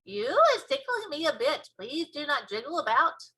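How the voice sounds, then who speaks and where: Kindly giant voiced by a woman, storybook, high bright voice, blustery, broken grammar, silly muddled words. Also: very animated, normal speed, full character voice, poor audio. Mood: gently cautioning. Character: huge kindly male giant, blustery, easily pained. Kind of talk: children's storybook character voice.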